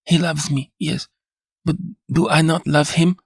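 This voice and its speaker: A man's voice: fairly high pitch, fairly even intonation, normal speed, gravelly, slightly rough, no echo, studio quality, voice pushed up. A man voicing a delirious, anguished young wife, speaking with emphatic repetition. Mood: impassioned. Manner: anguished, emphatic, repeating herself.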